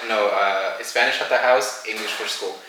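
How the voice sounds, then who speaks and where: A man hosting a podcast, chatting casually and in a relaxed way with lots of fillers. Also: fairly low pitch, normal speed, background noise. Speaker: podcast host. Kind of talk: casual interview chat. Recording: echoey room, mic at a distance.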